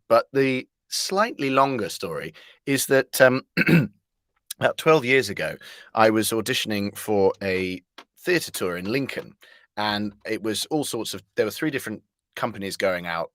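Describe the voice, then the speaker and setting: A man's voice: on the low side, fairly bright tone, no echo, clean studio sound. A man chatting casually as an interview guest, jovial and rambling, telling stories with a hesitant delivery.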